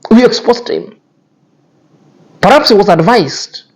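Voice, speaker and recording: A man's voice: high-pitched, lively intonation, unhurried. Scornful man lecturing. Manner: cutting, repetitive, lecturing. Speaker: YouTube commentary host. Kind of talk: scornful commentary on influencer drama.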